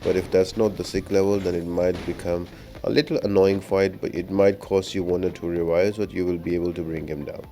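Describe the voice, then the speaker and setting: A man's voice: low voice, level tone, fairly quick, dark tone. A man giving a casual, instructional game walkthrough as a voice-over. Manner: explanatory, instructional. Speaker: gaming guide video narrator. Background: faint music.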